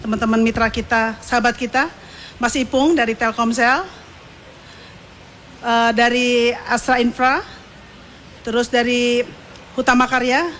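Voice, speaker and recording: A woman delivers a semi-formal speech at a ceremony. She speaks off the cuff, pausing and repeating herself as she looks for words. Light ambient noise from the live event sits behind her. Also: fairly high pitch, fairly bright tone. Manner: halting, searching for words with repeats and pauses. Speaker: media executive giving a speech at a send-off ceremony.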